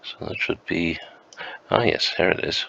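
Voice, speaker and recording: A man with a flu, sounding croaky and sniffly, thinking aloud slowly and hesitantly as he plays a map game at home. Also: sits low, sharp tone, lo-fi sound. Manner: slow, musing, hesitant with many ums. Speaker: game streamer. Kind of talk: thinking-aloud gameplay commentary.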